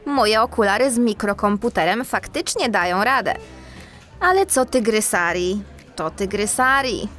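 A woman's voice: mid-range voice, expressive, moderate pace, a bit bright, faint music behind, natural voice. An actress playing a self-assured, teasing female animal police officer in a children's audio drama.

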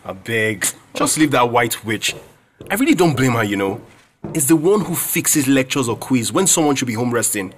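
A man's voice: medium pitch, animated, normal speed, a little hiss or hum, natural voice. A man playing a blunt, loyal young Nigerian student friend in an audio drama. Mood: grumbling, resigned. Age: young adult.

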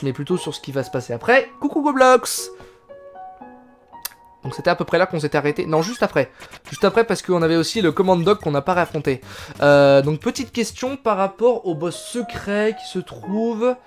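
A man's voice: sits high, animated, brisk, soft background music. A young man in his twenties chatting casually while playing a game on stream, reading text aloud and answering chat. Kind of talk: casual live gameplay commentary. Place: indoors, gaming chair at home.

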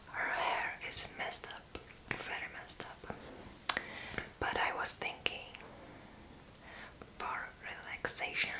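Young woman whispering slowly, ASMR style. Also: poor audio. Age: young adult. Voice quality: breathy, unvoiced whisper. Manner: unhurried, sassy, playfully deadpan. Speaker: ASMR roleplay creator. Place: small room indoors.

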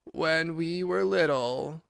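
A man's voice: a little high, a bit bright, clear, resonant tone, no echo, studio quality. Man playing a mournful, drawling old turtle. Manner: slow, drawn-out, mournful delivery. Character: melancholy old male mock turtle, sighing and self-pitying.

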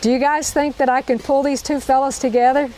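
Woman, slightly high voice, leading an outdoor knot demo; cheerful, repeats steps, faint park ambience. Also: flat delivery, a little fast, noisy background. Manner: upbeat, repeats each step, checks in with "okay?". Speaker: knot-tying instructor. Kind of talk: hands-on outdoor demonstration.

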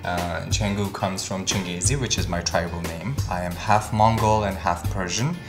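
A man's voice: sits low, fairly even intonation, normal speed, over music. A man in his thirties with a Pakistani accent, speaking hesitantly in short phrases in a personal interview. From Quetta, Pakistan. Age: 30s. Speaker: dancer, documentary subject. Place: living room, indoors.

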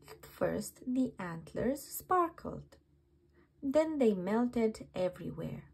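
A woman reading a rhyming picture book aloud at bedtime in a gentle, half-whispered, breathy way. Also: on the high side, big pitch swings, slow. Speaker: storybook reader. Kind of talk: bedtime story read-aloud.

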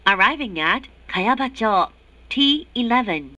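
A woman reading formal recorded train announcements in a measured, clearly articulated way. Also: a little high, expressive, pushed and bright, no room sound, highs cut off. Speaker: English-language train announcer. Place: recorded announcement played inside subway car.

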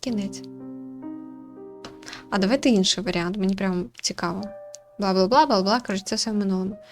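A woman's voice: medium pitch, a little fast, music in the background. A woman reading a visual novel aloud as a let's player, with informal, playful commentary. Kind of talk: scripted narration with casual gaming commentary.

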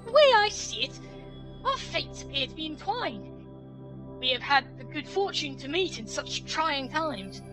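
A man reading game dialogue in a high, sing-song falsetto as an earnest, cheerful female knight who worships the sun, speaking grandly and confidently. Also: big pitch swings, a little slow, over music, heavily acted, low-quality recording. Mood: cheery, grand. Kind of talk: reading a game's dialogue aloud. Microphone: headset.

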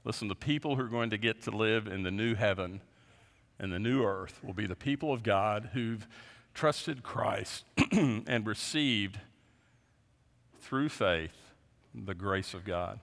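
A man in his fifties, a pastor preaching a sermon from a lectern in a large church, earnest, often dropping his voice low at the end of a point. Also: a little slow, gravelly, fairly bright tone. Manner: earnest, gestures widely, voice drops low at ends of points. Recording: long reverb of a large sanctuary.

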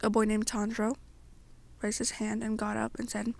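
A young woman narrating an ASMR story to the listener in a halting way, pausing between short phrases. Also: fairly high pitch, monotone, vocal fry, a little mellow. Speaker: amateur roleplay narrator.

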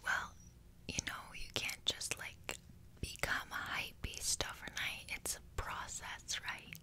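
A woman's voice: slow pace, sharp tone. A young woman whispering an ASMR roleplay close to the microphone in casual, slangy, playfully boastful speech. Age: young adult. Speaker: ASMR creator. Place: small bedroom indoors. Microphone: desk microphone close to the mouth.

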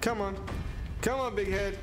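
A man's voice: high-pitched, fairly even intonation, moderate pace, music in the background. A young man giving casual, slangy gameplay commentary, muttering reactions and exclaiming now and then. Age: young adult. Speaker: gaming video host. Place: small indoor room at home.

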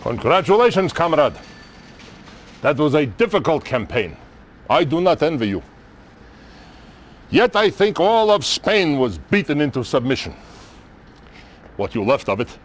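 A weary, sardonic old Soviet general from a video game cutscene. He speaks with a Russian accent at a slow, deliberate pace. His theatrical delivery rises and falls widely, with pauses between short phrases. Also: fairly high pitch, sing-song, slight background noise. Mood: wry, hearty. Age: older. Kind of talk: dramatic game cutscene acting.

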